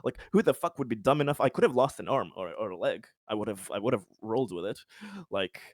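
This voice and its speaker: A man's voice: on the low side, expressive, fast, no echo, studio quality. A man playing a tabletop RPG, casually recapping his character's schemes in a rambling, sweary, self-amused way.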